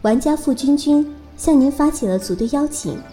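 A woman's voice: high-pitched, animated, normal speed, dark tone, faint music behind. A woman voicing a polite game system announcer, formal and impersonal, in an audio drama. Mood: neutral. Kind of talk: scripted game notification.

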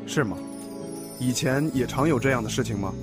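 A man playing a composed police detective in a radio drama, questioning in a measured, formal way. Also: medium pitch, lightly acted. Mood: calm, probing. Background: music.

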